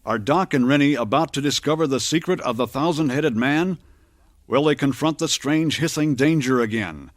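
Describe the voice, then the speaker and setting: A man's voice: medium pitch, moderate pace. A man announcing and narrating an old-time radio adventure serial in a theatrical, declamatory way. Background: dramatic music.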